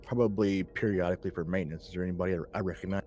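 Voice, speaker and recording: A man's voice: sits low, steady tone, rapid-fire, darkish tone, faint music behind. A man speaking casually as a player in a tabletop roleplaying game.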